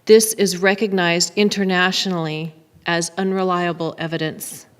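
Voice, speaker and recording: A woman's voice: lowish voice, fairly even intonation, normal speed, smooth. A middle-aged woman giving a scripted reading aloud at a lectern. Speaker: reader in a readers theater. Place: large temple sanctuary, at a lectern. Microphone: podium microphone on a boom stand. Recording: long hall reverb.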